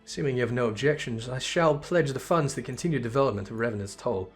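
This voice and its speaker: Male player as a regal young game sultana: earnest, gracious, courtly and measured, over music. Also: mid-range voice, close to an everyday voice. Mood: gracious, remorseful. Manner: formal, measured, courtly phrasing. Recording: music behind the voice.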